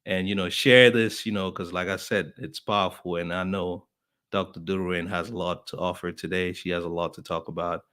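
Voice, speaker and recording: A man's voice: low voice, steady tone, normal speed, a bit bright. A man hosting a live podcast, greeting viewers in a casual, friendly way with many fillers and restarts. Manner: chatty, friendly, lots of fillers. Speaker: podcast host. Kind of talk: casual live-stream welcome.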